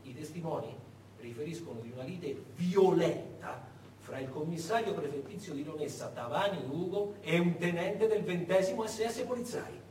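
A man telling history as a dramatic stage monologue, emphatic, with pauses and stretched words. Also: fairly high pitch, darkish tone. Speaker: stage actor and narrator. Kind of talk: theatrical historical storytelling, monologue. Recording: echoey stage, distant, faint noise.

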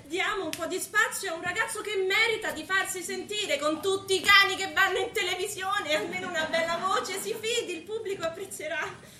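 Stage casting: a melodramatic, distraught wife, very high bright voice, echoey distant pickup. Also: female voice, fairly quick, slight background noise. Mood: coaxing. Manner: melodramatic, swinging from composed to wailing. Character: distraught, theatrical wife of a missing businessman. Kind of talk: stage theatre acting.

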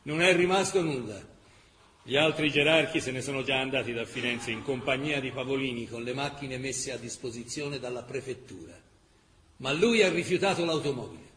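An actor playing a proud, stubborn fascist officer in a radio drama, speaking in a declamatory, emphatic way. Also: male voice, mid-range voice, fairly quick, fairly bright tone, echoey room, big-room sound, natural voice. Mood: resolute. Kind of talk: radio theatre acting and storytelling.

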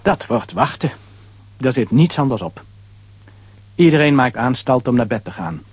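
A man narrating a children's audio drama as an animated storyteller. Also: mid-range voice, moderate pace, slightly rough, no room sound.

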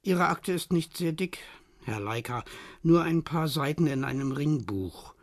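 A man's voice: a little high, expressive, measured pace, dry recording, clean studio sound. An actor playing a stocky, dismissive male archive official in a radio drama, officious and pointed in his manner.

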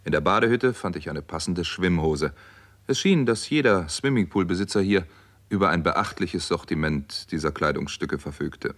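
Reserved, wry doctor-narrator, lowish voice, lively intonation, vintage radio crime play. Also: male voice, moderate pace. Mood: dry, composed. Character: wry, reserved male doctor narrating his detective story. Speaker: lead actor and first-person narrator. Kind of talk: 1960s German radio crime drama acting. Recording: 1960s radio recording, faint background noise.

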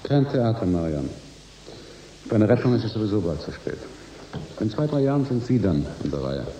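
Cynical deal-maker guy in a radio play, lowish voice, very lively pitch, sardonic, echoey room. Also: moderate pace, slight background noise. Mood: cold, threatening. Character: cool, calculating, cynical male business negotiator Miguel. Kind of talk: radio drama acting.

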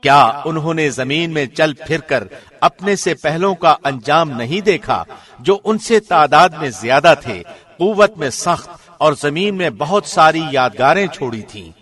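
A man reading a scripture translation as formal narration, solemn and measured, with careful enunciation. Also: on the high side, expressive, fairly bright tone. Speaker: translation narrator, radio host.

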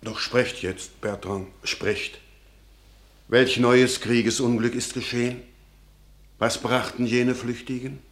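A solemn old father in a classic radio play, full of dark foreboding. He speaks slowly and gravely, weighing every warning in measured verse. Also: medium pitch, flat delivery. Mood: troubled.

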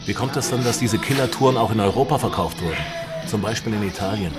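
Actor as doubting male investigator, low voice, probing, insistent, radio drama with music behind. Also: quick pace, close to an everyday voice. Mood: skeptical, probing. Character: skeptical male investigator pressing for answers.

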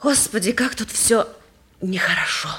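An actress playing a meek, long-suffering wife with a hushed, halting, brooding delivery in a stage drama. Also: female voice, mid-range voice, natural voice. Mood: mournful. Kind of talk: 1950s Russian stage drama acting. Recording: roomy, distant old theatre recording.

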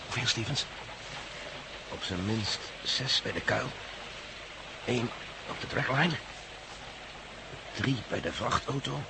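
A man's voice: mid-range voice, expressive, takes it slowly, creaky, pauses often. An actor playing a determined, watchful male landowner in a radio drama, giving terse, short observations. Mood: determined, tense. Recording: noisy radio play, outdoor ambience.

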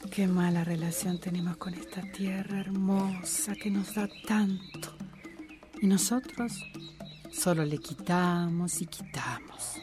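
Low-voiced, slow, pensive farmer. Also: female voice. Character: worried, pensive woman farmer talking to herself. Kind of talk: radio drama monologue. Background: music and outdoor nature sounds.

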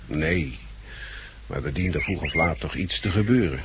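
A man's voice: rumbling low, expressive, moderate pace. An actor in a 1960s radio drama playing a practical, plain-spoken male survivor who argues bluntly. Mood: relaxed. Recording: noisy old 1960s radio recording.

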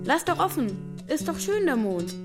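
Cheeky, dreamy little boy, highish and animated, radio drama, faint music behind. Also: normal speed. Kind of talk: German radio play acting.

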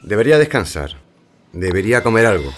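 An actor playing a calm, reassuring, clinical doctor in a radio drama. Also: male voice, lowish voice, brisk, a little hiss or hum.

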